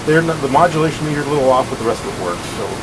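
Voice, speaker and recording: A man giving a casual hands-on gadget overview as a hobbyist host, plain-spoken, rambling and halting. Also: medium pitch. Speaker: radio collector and video host. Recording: steady hiss and hum behind voice.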